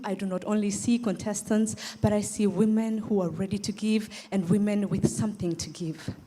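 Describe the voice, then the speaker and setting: A young woman with a soft-edged, mellow voice and a Tanzanian accent delivers a prepared stage speech. She is earnest and emphatic, setting out her phrases deliberately and leaning on repetition. Her tone stays warm and rounded as she addresses a formal gathering. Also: medium pitch. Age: young adult. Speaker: pageant contestant.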